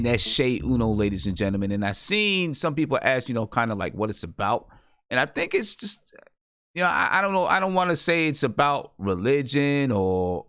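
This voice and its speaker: A man's voice: on the low side, fairly bright tone, no room sound, studio quality. A man hosting a live music review show, chatting casually and informally in a hesitant, searching way.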